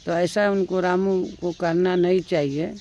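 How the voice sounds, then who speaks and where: A man's voice: high-pitched, fairly even intonation, a little slow, a little mellow. A man with a Bhojpuri accent talking casually in a rambling, repetitive way. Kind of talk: casual conversational commentary. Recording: dull lo-fi sound, birds chirping.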